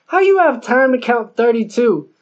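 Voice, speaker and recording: Scornful gangster sidekick played by a male reader, nitpicking street slang, raised pitch, dull audiobook sound. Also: big pitch swings, normal speed. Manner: contradicting, slangy street talk. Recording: dull lo-fi recording.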